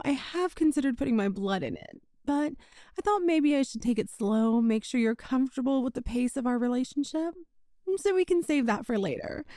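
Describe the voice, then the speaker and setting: A high, mellow-voiced yandere witch, acted by a woman in an intimate ASMR roleplay. She is sweet, coy and possessive, treating sinister ideas as breezy small talk. The sound is very dry and close. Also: no echo. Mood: doting, coy. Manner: cutesy, coy, breezily matter-of-fact about sinister things. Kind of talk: ASMR roleplay acting addressed to the listener.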